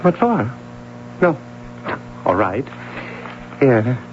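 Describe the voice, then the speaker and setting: A man's voice: medium pitch, very animated, drawn-out words, slight background noise. An actor playing an anxious, defensive middle-aged father who stammers and hesitates, in a 1970s radio drama. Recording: lo-fi old radio broadcast.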